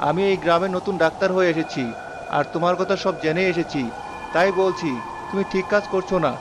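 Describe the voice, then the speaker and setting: A man playing a brave, compassionate young village doctor in an animated story, earnest and reasoning, with music behind him. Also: high-pitched, brisk, background noise, close to an everyday voice.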